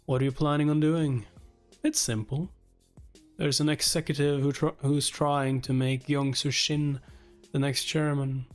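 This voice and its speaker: Higher-pitched man reading and reacting, music behind. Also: flat delivery, measured pace. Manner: informal, swears casually. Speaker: livestreamer reading a webtoon aloud and reacting. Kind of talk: casual live reaction and read-along, chatting with viewers. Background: background music.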